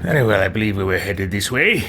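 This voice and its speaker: A man's voice: lowish voice, sing-song, fast, heavy background noise. A man voicing a haughty, easily outraged fantasy mage in a video game roleplay, with pompous, formal, theatrical diction. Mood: composed, formal.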